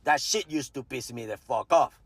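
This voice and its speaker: A man's voice: mid-range voice, moderate pace, breathy edge, no room sound. A man in his fifties from Puerto Rico, with a Puerto Rican Spanish accent and Spanish as his first language, telling a personal story in a blunt, profane way with Spanish asides. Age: 50s. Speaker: veteran storyteller.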